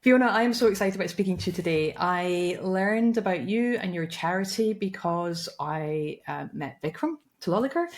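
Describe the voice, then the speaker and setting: Upbeat woman podcast host, low voice. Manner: enthusiastic, upbeat. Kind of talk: scripted podcast intro then conversation.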